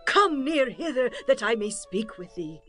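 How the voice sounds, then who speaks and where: A woman's voice: fairly high pitch, sing-song, a little slow, fairly bright tone, soft background music. A woman playing a wise, dignified townswoman who pleads solemnly in a dramatized scripture reading, in formal speech. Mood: solemn pleading.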